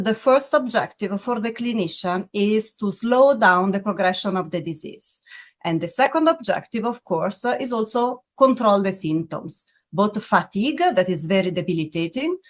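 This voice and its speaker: A middle-aged woman with a clear Italian accent gives interview answers in a medium-pitched voice. She speaks in measured phrases, filling gaps with soft hesitations and restarting now and then as she searches for words. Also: lively intonation. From Italy. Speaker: pharmaceutical medical officer.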